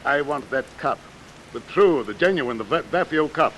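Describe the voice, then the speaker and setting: An actor playing a pompous, commanding seafaring villain in a 1940s radio detective drama, with salty nautical phrasing. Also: male voice, on the high side, lively intonation, moderate pace, fairly bright tone, poor audio. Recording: hissy vintage radio recording, rain overlaid.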